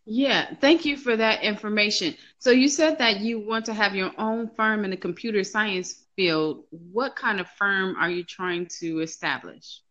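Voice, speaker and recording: A woman hosts a casual podcast interview, asking upbeat and encouraging questions. Her tone is bright and forward at a middle pitch. The recording sounds dull and lo-fi. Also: a little slow, few pauses.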